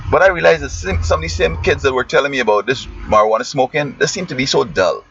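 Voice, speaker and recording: A man's voice: medium pitch, fast, creaky, fairly bright tone, faint music behind. A man from Guyana with a Guyanese accent, a schoolteacher giving rambling, preachy commentary that often trails off mid-sentence. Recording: muffled lo-fi with faint hiss.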